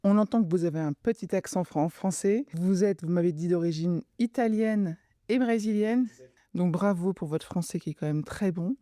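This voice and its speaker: A woman host asks a guest direct, plainly worded questions. Her voice is warm and mellow at a middle pitch, and the recording is dry and close. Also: normal speed, dry recording. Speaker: interviewer. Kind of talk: interview questions.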